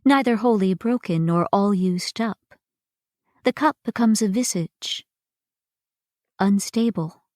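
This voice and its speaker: Woman, poetry recitation, unhurried pace, dry close sound, expressive phrasing. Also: medium pitch, no room sound. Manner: deliberate, expressive, pausing between phrases. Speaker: reader of poetry.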